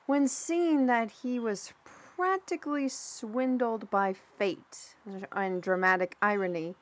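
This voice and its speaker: A woman playing a plain-spoken mentor in a fantasy audio drama, telling things in a folksy, measured way with dramatic emphasis. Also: mid-range voice, natural voice. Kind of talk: fantasy audio roleplay, storytelling.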